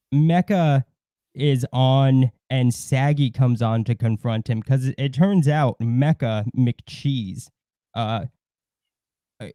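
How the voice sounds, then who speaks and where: A man co-hosting a livestream with casual commentary and gossip, rambling and halting, often swearing. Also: mid-range voice, warm tone, dry recording. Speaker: co-host of a livestream.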